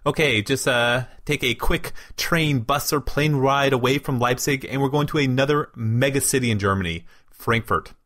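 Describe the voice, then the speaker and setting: A man's voice: medium pitch, normal speed, fairly bright tone. A man co-hosting a podcast, presenting in an upbeat, enthusiastic, casual way. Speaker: podcast co-host. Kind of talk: casual chat.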